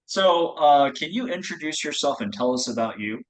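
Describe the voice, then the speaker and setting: A man's voice: a little high, expressive, normal speed, rough recording. Polite man interviewing a guest. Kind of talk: casual interview questions.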